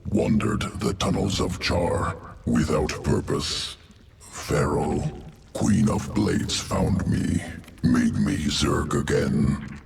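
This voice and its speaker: A man's voice: low-pitched, takes it slowly, darkish tone, faint music behind, heavily acted. A man voicing a cold, guttural alien insectoid geneticist in a video game, speaking in clipped, detached, clinical fragments. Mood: detached, brooding. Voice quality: guttural, gravelly. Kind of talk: video game character acting. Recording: roomy echo, distant, noisy game ambience.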